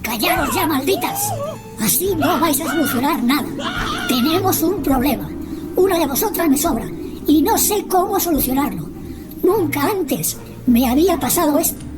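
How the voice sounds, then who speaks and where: A woman's voice: high-pitched, very animated, normal speed, pitched above the performer's own voice. A woman voicing a menacing, imperious ghostly female reaper in a radio drama, threatening and commanding. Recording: music behind the voice.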